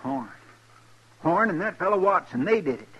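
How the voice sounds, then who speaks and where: A man's voice: sits high, sing-song, normal speed, fairly bright tone, husky, highs cut off, exaggerated voice. A man playing an eager, simple-hearted frontier deputy in a 1950s radio western, with an earnest rural American drawl. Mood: alarmed, earnest. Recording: noisy old radio recording.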